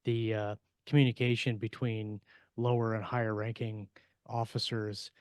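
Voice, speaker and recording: A man's voice: fairly low pitch, flat delivery, normal speed, darkish tone, clean tone, no echo, studio quality. A man talking casually as a co-host in a podcast panel discussion.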